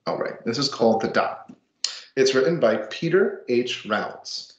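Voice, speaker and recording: A man's voice: mid-range voice, expressive, moderate pace, roomy sound, dull audio. A man reading a children's picture book aloud with casual asides, expressively and with dramatic emphasis. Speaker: storybook reader.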